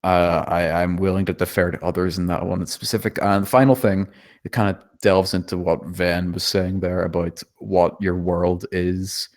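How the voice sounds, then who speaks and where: A man's voice: sits low, flat delivery, moderate pace. A man giving spontaneous script feedback, thinking aloud in a hesitant, self-deprecating, hedging way. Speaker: table read participant giving script feedback.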